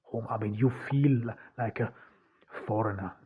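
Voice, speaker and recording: A young man from Austria with an Austrian German accent, hosting a solo podcast and speaking English haltingly, full of fillers and restarts. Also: on the low side, breathy edge. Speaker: podcast host. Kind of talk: solo reflective podcast monologue. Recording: dull lo-fi podcast recording.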